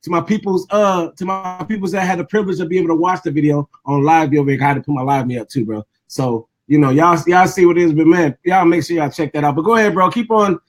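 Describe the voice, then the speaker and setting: A laid-back male radio host with a high voice for a man chats loosely and casually. He keeps repeating himself as he hands the floor to his guest. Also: quick pace, hardly any pauses, soft background music. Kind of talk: casual radio interview chat.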